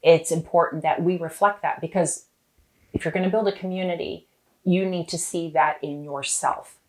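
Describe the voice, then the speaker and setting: A woman who heads a trade association, speaking to camera in a measured, purposeful way. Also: lowish voice, steady tone, darkish tone. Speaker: association executive officer. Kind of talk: interview to camera.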